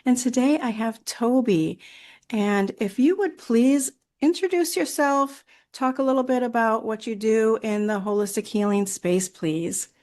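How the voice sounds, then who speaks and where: A woman's voice: fairly high pitch, unhurried, darkish tone. A woman hosting a podcast, interviewing a guest in a conversational style. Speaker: podcast host.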